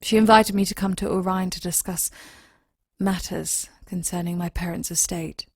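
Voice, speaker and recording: Woman acting a husky, reflective starship lieutenant. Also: lowish voice, fairly even intonation, moderate pace, no echo, studio quality. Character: anxious young female starship lieutenant, thoughtful and reserved. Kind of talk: audio drama acting, personal log and dialogue.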